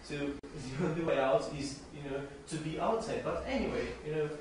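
A man's voice: on the high side, normal speed, very echoey, distant mic. Young male discussion speaker, hesitant with restarts, off-mic in a noisy room. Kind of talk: unscripted discussion answer. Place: small seminar room. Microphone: distant camera microphone.

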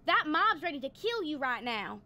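A woman's voice: high voice, big pitch swings, moderate pace, sharp tone, full character voice. A woman playing a suspicious, folksy, commanding female sheriff in a 1940s-style radio drama. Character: gruff, suspicious armed female country sheriff.

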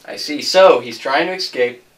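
A man's voice: mid-range voice, moderate pace. Male interviewer asking questions over faint music.

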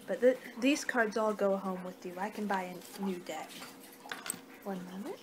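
A young woman doing an ASMR card-reading role-play with a halting, unhurried delivery. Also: mid-range voice. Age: young adult. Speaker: ASMR performer in a fortune-teller role-play. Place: small home room, indoors. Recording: noisy amateur webcam-style room recording.

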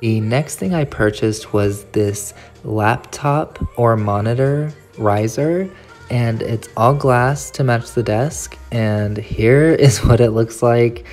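A woman vlogging her shopping trip and haul, gushing over everything she picked up. She talks into a handheld phone camera in a store and later at her desk at home. Faint music plays softly underneath. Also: rumbling low, takes it slowly. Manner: gushing, enthusiastic. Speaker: nail art YouTuber. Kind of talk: casual shopping haul vlog. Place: nail supply store, then home desk, indoors.

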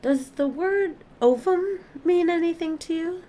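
A woman playing a careful, formal alien scientist in an audio play, speaking precisely with deliberate pauses. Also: very high-pitched, mellow. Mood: careful, hesitant. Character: alien witness, careful, formal female scientist-observer of planets.

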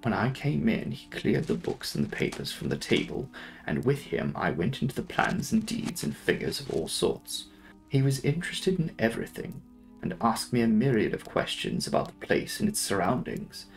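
A man's voice: fairly low pitch, sing-song, normal speed, vocal fry, faint music behind, low-quality recording. A young man giving a dramatic narration of a classic novel as an audiobook reader.

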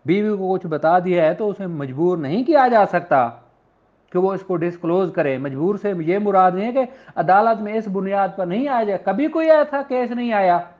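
A Pakistani man with a high-pitched voice teaches in an informal, explanatory way, as a lecturer would. The recording is dull and lo-fi. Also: animated. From Punjab, Pakistan. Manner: explanatory, switches into slow deliberate reading. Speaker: law lecturer. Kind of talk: informal lecture, explaining and reading out legal text. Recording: dull, lo-fi audio.

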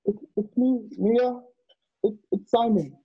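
A man playing an earnest, anxious young man in a radio drama, with a husky voice and a hesitant, stammering delivery. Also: very high voice, fairly even intonation, takes it slowly, dry recording, heavily acted. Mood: gentle, soothing. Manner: hesitant, stammering, repeating words. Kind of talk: online radio drama acting. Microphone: video call, home setup. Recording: dull lo-fi video call.

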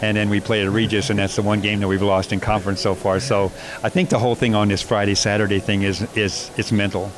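A man coaching basketball, answering postgame interview questions in a measured way with many fillers and restarts. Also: on the low side, little pitch movement. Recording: noisy background.

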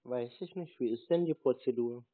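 A man on a prank phone call, stalling and acting slow-witted as he fumbles along with instructions. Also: medium pitch, slightly rough. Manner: stalling, deliberately slow-witted, silly spelling words. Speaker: scam-baiter playing a clueless victim on a phone call. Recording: tinny phone line.

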